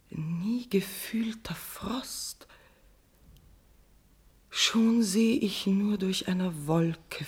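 An actress playing a guilt-ridden dying queen in a classical German radio tragedy, speaking solemn declamatory verse in a breathy voice. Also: on the low side, exaggerated voice. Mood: fading, dying.

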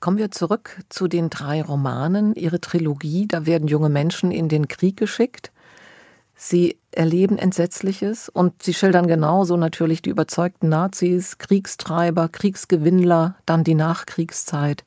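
A woman conducts an interview in a measured, prepared manner. Her voice is mellow and dark in tone, recorded dry and close, as she sums up and then asks. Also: lowish voice, fairly even intonation, dry recording.

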